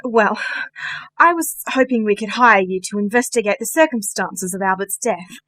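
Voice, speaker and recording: A woman's voice: medium pitch, moderate pace, a bit bright, no echo, lightly acted. A woman playing a polite, earnest and assured client in a radio play.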